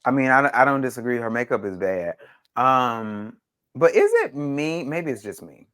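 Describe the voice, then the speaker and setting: A man's voice: mid-range voice, normal speed, fairly bright tone, clear, resonant tone. A man chatting casually as a podcast panelist, slangy and given to swearing. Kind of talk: casual group chat recapping a TV show.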